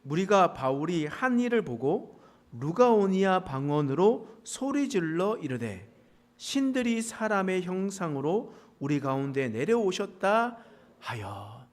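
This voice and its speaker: A middle-aged man from the Pohang area, a pastor preaching a sermon with traces of a Gyeongsang dialect, folksy and joking with the congregation. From South Korea, Pohang area. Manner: folksy, joking asides with congregation, animated pitch swings. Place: church sanctuary.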